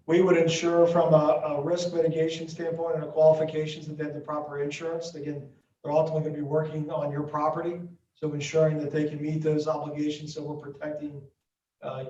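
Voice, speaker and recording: A man from Ohio representing a construction firm, calmly answering questions at a school board meeting. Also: on the high side. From Ohio, USA. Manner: steady, businesslike explaining. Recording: muffled distant audio in an echoey room.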